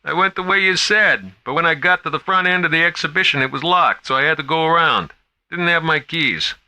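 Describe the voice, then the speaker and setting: A man voicing a gruff, flustered night security guard in an audiobook, talking in clipped slang over the radio. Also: a little high, fairly quick, sharp tone, no echo, pitched above the performer's own voice, low-quality recording. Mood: flustered, explaining. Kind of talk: audiobook character acting.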